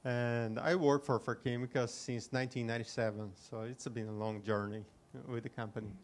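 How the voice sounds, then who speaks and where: Brazilian guy on a panel, easygoing, laughs a lot, mid pitch, bit of hiss. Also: flat delivery, brisk. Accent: Brazilian Portuguese. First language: Portuguese. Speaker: panelist, company representative. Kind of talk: panel discussion.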